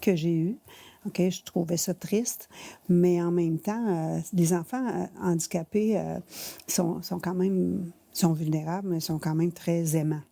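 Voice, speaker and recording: A woman in her sixties with a Quebec French accent, answering interview questions conversationally in halting, hesitant phrases. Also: lowish voice, steady tone, gravelly, mellow, dry recording. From rural Quebec, Canada. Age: 60s. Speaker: interview guest, finance manager.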